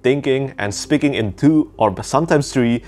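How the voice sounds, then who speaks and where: A man's voice: mid-range voice, moderate pace, a little mellow. A man in his thirties, a native Indonesian speaker, giving an earnest, enthusiastic scripted book review into a lapel mic at home. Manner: earnest, enthusiastic, precise diction. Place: home room with bookshelves. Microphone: small lapel mic.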